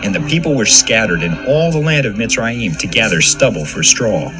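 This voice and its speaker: A man's voice: fairly low pitch, lively intonation, normal speed. A man narrating a dramatized scripture reading from a script. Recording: music under the voice.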